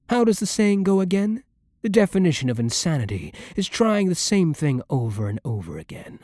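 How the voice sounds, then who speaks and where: A man playing a seductive, menacing vampire lord in an ASMR roleplay, with a breathy voice and a silky, theatrical, condescending manner. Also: a little high, a little mellow, no room sound, clean studio sound, full character voice. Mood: taunting. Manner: slow, theatrical, silky condescension with dropping murmurs. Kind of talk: ASMR roleplay, scripted monologue to the listener.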